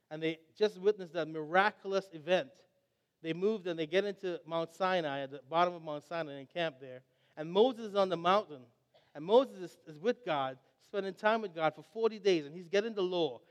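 A man's voice: high-pitched, in a large hall. A young adult man from Trinidad and Tobago preaching a sermon, earnest and reflective as he tells stories.

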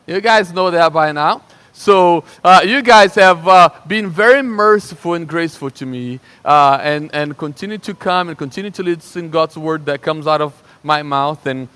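A young man from Brazil with a Brazilian Portuguese accent, preaching a sermon in a lively, conversational way and often checking in with "right?". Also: on the high side, moderate pace, clean tone. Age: young adult. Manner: animated and conversational, with frequent restarts and "right?" checks. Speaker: pastor.

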